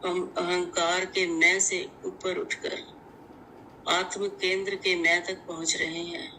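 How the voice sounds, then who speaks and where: Woman slowly guiding meditation, bright clear-edged voice. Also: lowish voice, level tone, slight background noise, rough recording. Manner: measured, deliberate, repeating affirmations in short phrases. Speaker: meditation guide. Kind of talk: guided meditation, slow instructive narration.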